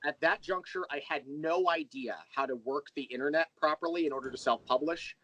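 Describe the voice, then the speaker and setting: Rambling, higher-pitched American man. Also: a little fast, pushed and bright, lo-fi sound. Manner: rambling, candid, self-deprecating. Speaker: interview guest, audio drama creator. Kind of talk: casual interview chat.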